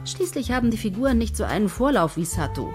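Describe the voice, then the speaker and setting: An actress playing a confident head writer who steers her team in a brisk, patronizing and persuasive manner. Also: fairly high pitch, big pitch swings, over music. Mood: bossy. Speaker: head of a soap writing team. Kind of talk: radio play dialogue.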